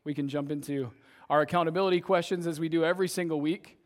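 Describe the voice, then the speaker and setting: Young man, youth pastor, casual sermon to a congregation, slightly raspy voice, fairly high pitch, conversational. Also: fairly even intonation, fast talker. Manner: conversational, tags sentences with "right?". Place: church service, in front of a congregation.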